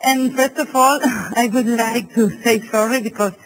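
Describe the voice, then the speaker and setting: Halting woman presenting. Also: a little high, fairly even intonation, rough recording. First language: not English. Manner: halting, searching for words. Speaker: city council technician, presenter. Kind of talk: webinar presentation.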